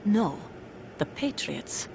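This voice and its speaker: A woman's voice: slow pace, darkish tone, slight background noise, lightly acted, low-quality recording. A woman playing a guarded, sorrowful female soldier in a video game, terse and restrained. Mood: sorrowful, confessing. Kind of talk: dramatic video game acting.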